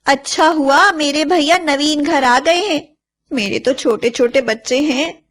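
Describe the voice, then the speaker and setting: A woman's voice: high-pitched, unhurried, a bit bright. A woman voicing a protective, devoted sister and young mother in a children's story, speaking earnestly and with emphasis. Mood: relieved. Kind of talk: dramatised children's story voice acting.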